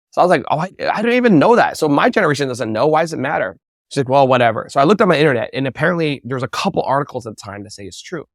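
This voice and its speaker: A man being interviewed, telling casual anecdotes and acting out quotes with animated energy. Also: mid-range voice, no echo, clean studio sound. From United States. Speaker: interview guest, bubble tea entrepreneur.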